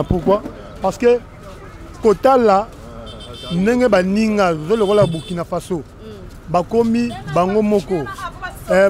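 A man's voice: sits high, darkish tone. A man in his thirties with a Congolese accent giving his opinion in a street interview outdoors. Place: outdoors, busy street by a shopfront.

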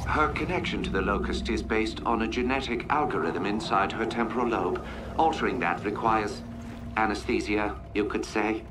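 An actor playing a cold, courtly scientist machine intelligence with a formal, measured, condescending manner, in a video game. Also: male voice, fairly low pitch, distant mic, background noise, close to an everyday voice. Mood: clinical, calm. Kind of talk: dramatic video game acting.

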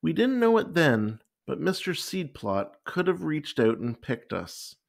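A man reading a children's picture book aloud with expressive, deliberate storytelling. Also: a little high, creaky. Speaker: story time reader.

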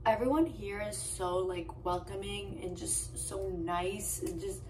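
A young woman with a hoarse voice from being sick, chatting to her phone camera in a hotel room in a bubbly, gushing way. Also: medium pitch, measured pace, a little mellow, slight background noise, rough recording. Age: young adult. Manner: bubbly, gushing, talks to viewers as guys. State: hoarse, lost voice from illness. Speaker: travel vlogger. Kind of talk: casual vlog chat to camera. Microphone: handheld phone camera at arm's length.

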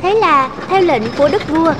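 A woman narrating a fairy tale in speech, in a measured storytelling delivery. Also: extremely high pitch, noisy background. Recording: music behind the voice. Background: background music.

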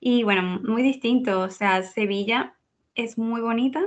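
A woman's voice: fairly high pitch, measured pace, lo-fi sound. A young woman from Venezuela, a guest chatting casually and cheerfully in an interview. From Venezuela (Caracas). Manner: chatty, lively, laughs easily.

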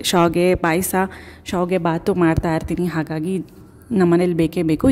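A woman's voice: on the low side, a little mellow. A young woman from India vlogging at home in a casual, chatty way, explaining household things one by one. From Karnataka, India. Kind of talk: casual chat. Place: home kitchen, then living room indoors. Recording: echoey room with background noise.

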